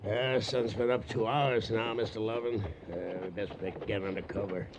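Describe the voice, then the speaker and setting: An actor playing a rough, loyal cowhand with an in a radio drama. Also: male voice, fairly low pitch, fairly even intonation, normal speed, muffled recording, noisy background, lightly acted. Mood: easygoing, wary. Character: rough, loyal cowhand scout, plain-spoken and wary. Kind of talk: western radio drama acting.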